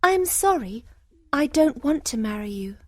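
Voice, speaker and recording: Woman acting a high-voiced, gentle young fairytale heroine. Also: big pitch swings, measured pace, dry recording. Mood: polite, apologetic. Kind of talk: fairytale storybook dialogue for English learners.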